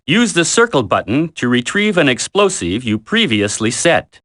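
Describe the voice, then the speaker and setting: A man's voice: mid-range voice, big pitch swings, normal speed, fairly bright tone, dry recording, studio quality. A man voicing a stern military combat instructor in a video game tutorial, giving blunt, emphatic instructions. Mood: instructive, brisk. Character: stern, gruff male military combat instructor briefing a trainee. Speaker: training instructor.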